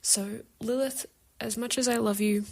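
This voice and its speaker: A woman's voice: fairly high pitch, steady tone, moderate pace, vocal fry, a little mellow. A woman giving casual opinion commentary in a listener's voice submission.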